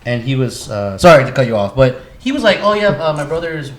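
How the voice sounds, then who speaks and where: A man in casual podcast chat as a co-host, slangy and quick to interject, swearing casually. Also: mid-range voice, big pitch swings.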